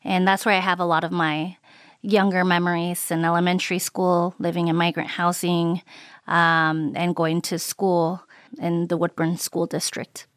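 A woman born in Mexico recalling her life in an interview, reflective and unhurried with frequent ums and uhs. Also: on the low side, little pitch movement, no echo, clean studio sound. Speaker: interviewee, immigrant rights advocate. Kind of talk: recorded interview recollection.

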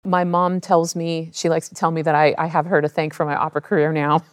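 A woman's voice: low-pitched, level tone, dry recording. A woman, thoughtfully telling her life story in an interview. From United States (California). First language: raised bilingual in an Indian immigrant family. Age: 50s. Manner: reflective, candid, unhurried. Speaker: interview guest, opera singer.

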